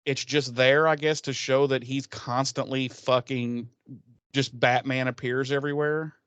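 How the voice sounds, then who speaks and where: A man with a smooth, clean voice and average pitch, recorded very dry. He talks casually as a podcast co-host, rambling through a recap with sarcasm and swearing. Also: fairly even intonation, a little slow, a bit bright, no echo, highs cut off.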